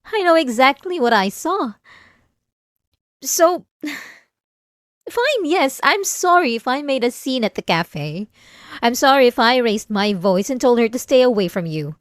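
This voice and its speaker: A woman playing an obsessive, possessive, delusional wife in an intimate ASMR roleplay, coaxing and wounded, with sighing pauses. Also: sits high, big pitch swings, no echo, studio quality. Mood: defensive, jealous.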